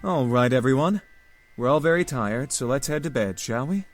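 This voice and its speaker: A man voicing a polite, gentle young man in a visual novel, speaking softly and courteously. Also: medium pitch, animated, normal speed, soft background music, close to an everyday voice. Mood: encouraging. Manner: courteous, soft-spoken.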